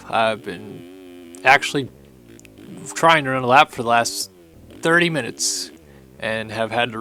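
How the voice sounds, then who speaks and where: A young man hosting a casual video walkthrough, his voice hoarse and raspy from having lost it, chatty and upbeat. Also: mid-range voice, bright, forceful tone, a little hiss or hum. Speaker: host. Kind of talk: casual game track walkthrough commentary.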